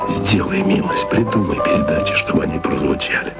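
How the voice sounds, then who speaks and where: An actor playing a lonely, sentimental radio tape editor in love, confiding in short, hushed, wistful phrases in an intimate radio monologue. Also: male voice, deep voice, huge pitch swings, brisk, creaky, loud music behind the voice, exaggerated voice. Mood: wistful, nostalgic.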